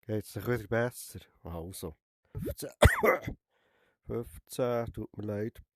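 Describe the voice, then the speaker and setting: A man's voice: lowish voice, expressive, slow, dry recording, studio quality. A young man with a Swiss German accent, nursing a cold, chatting playfully in character as a barber while playing a game, teasing and tagging sentences with a questioning "hä?". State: has a cold. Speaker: gamer streaming a VR barber game. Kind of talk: playful in-character gameplay commentary.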